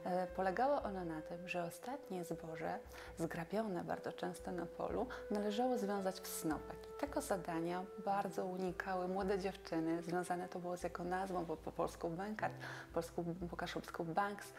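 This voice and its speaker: Gravelly low-voiced woman explaining folk customs. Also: normal speed. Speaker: museum expert on folk customs. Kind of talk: explanatory documentary interview talk. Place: furnished indoor room. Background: music.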